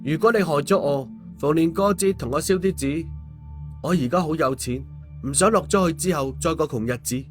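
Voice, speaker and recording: Male narrator as cheeky, put-upon young rogue, Hong Kong Cantonese, slangy dramatic grumbling, raised pitch for audiobook. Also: fairly quick, soft background music, close to an everyday voice. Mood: reluctant, wry. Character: young man, exasperated, cheeky, reluctantly resigned rogue. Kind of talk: audiobook character acting.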